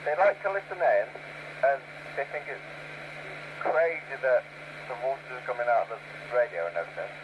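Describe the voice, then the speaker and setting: A man's voice: medium pitch, moderate pace, pauses often. A man chatting casually over a CB radio transmission. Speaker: CB radio operator. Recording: muffled CB radio, very loud static.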